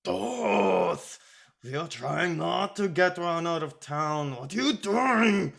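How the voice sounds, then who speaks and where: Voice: medium pitch, lively intonation, takes it slowly, a bit bright. Storybook role: boozy, round-bellied old German mystic, acted by a narrator, thick accent, lilting and jovial. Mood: exasperated. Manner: tipsy lilt.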